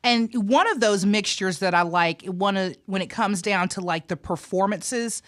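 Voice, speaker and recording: A woman's voice: mid-range voice, moderate pace. Playful woman hosting. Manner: playful, teasing, upbeat. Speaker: TV show host. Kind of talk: casual interview chat.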